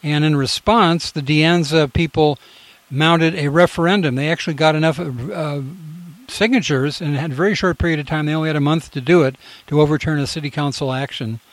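A man's voice: on the high side, fairly quick, no echo. A man hosting a community radio show, talking casually and rambling with restarts. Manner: rambling, many fillers and restarts.

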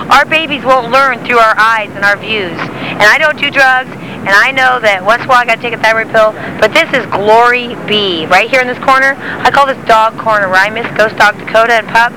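A woman speaks outdoors on a sidewalk over clear street noise. She rambles emphatically and indignantly, in the casual way of someone stopped for an interview. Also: on the high side, a little fast. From northern California, United States.

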